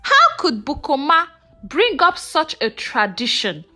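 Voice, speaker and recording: A woman telling a scripted folktale as a storyteller, in a measured, expressive and dramatic way. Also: high-pitched, soft background music. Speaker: storyteller narrator.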